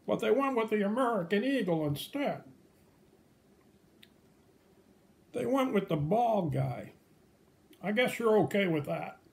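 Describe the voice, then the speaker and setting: A man's voice: sits high, lively intonation, moderate pace, creaky, a little mellow, pitched above the performer's own voice. A man voicing a cheeky, wisecracking old turkey puppet in a children's puppet show. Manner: joking, teasing, punctuated with gobbles.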